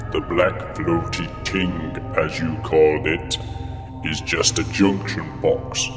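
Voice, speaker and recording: A man playing a pompous, self-important godlike creator in a comedy radio play. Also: sits low. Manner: pompous, grandiose, hesitating. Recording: long reverb, music behind.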